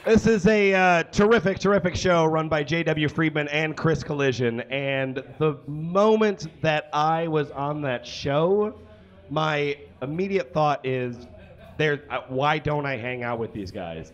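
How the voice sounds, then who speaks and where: A man's voice: fairly high pitch, measured pace, muffled recording. A young man with a loose, joking manner introducing the next act on a small stage, speaking into a handheld mic. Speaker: host. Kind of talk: live comedy show introduction. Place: small stage with curtains and brick wall.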